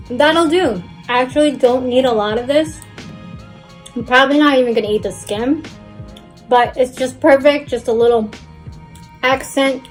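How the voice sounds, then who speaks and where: A young Filipino-American woman, a native Tagalog speaker, cheerfully talking viewers through a cooking demonstration step by step. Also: fairly high pitch. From Philippines. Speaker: cooking channel host.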